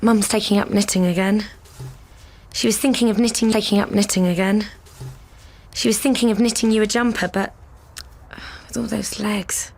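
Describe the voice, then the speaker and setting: A tender, anxious young daughter, hushed. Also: female voice, medium pitch, steady tone, normal speed, pauses often. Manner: breathy whispers, hesitant pleading. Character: tender, anxious daughter pleading for her brother. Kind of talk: radio drama acting.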